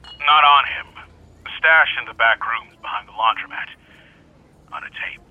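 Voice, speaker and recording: An actor playing a gruff, wry police detective in audio drama dialogue, terse and businesslike. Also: male voice, medium pitch, unhurried, narrow, tinny audio, close to an everyday voice.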